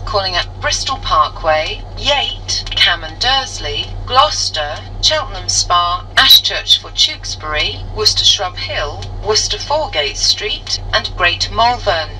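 A British woman reads railway station announcements in a formal, measured way, phrase by phrase. Her voice is bright and clear-cut in its pacing, slightly distant from the mic, with faint noise behind it. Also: mid-range voice, sing-song. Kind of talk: recorded public announcements.

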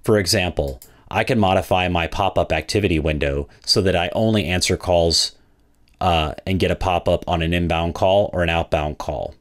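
A man with a low-pitched voice narrates a software product demonstration. He explains each step methodically, in the manner of a presenter guiding viewers through a tool. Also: moderate pace.

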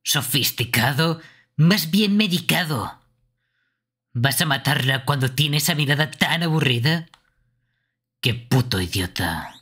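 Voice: mid-range voice, animated, a little slow, pauses often, a bit bright, slightly rough. A performer voicing a brash, foul-mouthed young male killer in a horror game, blunt and aggressive. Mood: scornful.

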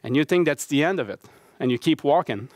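A man's voice: a little high, lively intonation, a little mellow, no room sound. French-accented man giving a conference talk. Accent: Québécois French. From Quebec City, Canada. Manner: halting, many fillers and restarts, earnest and conversational. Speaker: conference speaker, baseball coach and professor. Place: university lecture hall.

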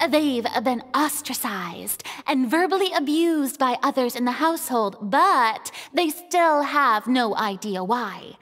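A woman's voice: sits high, fairly bright tone, big-room sound, heavily acted. A woman voicing a theatrical, commanding young film director in a video game, with dramatic, grand delivery.

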